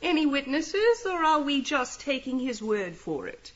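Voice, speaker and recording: A high-pitched young woman sleuth in a radio mystery. She is arch, self-assured and teasingly blunt. The sound is slightly dull. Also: big pitch swings, a little slow. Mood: probing, dry. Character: young, cheeky, self-assured female amateur detective. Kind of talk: radio mystery drama acting.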